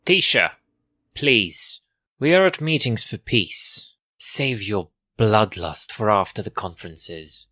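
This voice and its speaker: A woman playing a stern, measured alien official in an audio drama, formal and deliberate. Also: a bass voice, expressive, bright, forceful tone, dry recording, clean studio sound, heavily acted. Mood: pleading firmly.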